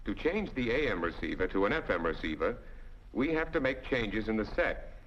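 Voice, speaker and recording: A man's voice: on the low side, sing-song, moderate pace, a little hiss or hum. An American man delivers scripted instructional narration as a training film narrator, explaining methodically step by step in a didactic way. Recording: dull 1940s film soundtrack.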